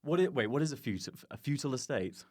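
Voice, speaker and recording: A man's voice: mid-range voice, big pitch swings, quick pace, darkish tone. A man chatting casually as a comedian guest on a podcast, joking in a self-deprecating way. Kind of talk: casual comic chat.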